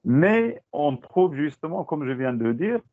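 A man's voice: fairly high pitch, very animated, no room sound. Moroccan man on a thin call line. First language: Tachelhit. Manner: halting, repeats words, switches into Moroccan Arabic. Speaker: teacher trainer. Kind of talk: online teaching talk. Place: online video call. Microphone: computer mic on a video call. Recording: dry, phone-like call audio.

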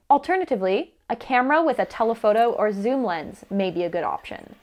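High-voiced young woman narrating a guide. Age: young adult. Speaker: presenter of a beginner's birdwatching guide. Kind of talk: scripted instructional narration.

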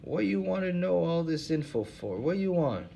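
A man's voice: big pitch swings, moderate pace, vocal fry, dark tone, a little hiss or hum. A man voicing a whiny old male hobo who keeps begging for drink money. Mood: wheedling. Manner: pleading, nagging, higher-pitched.

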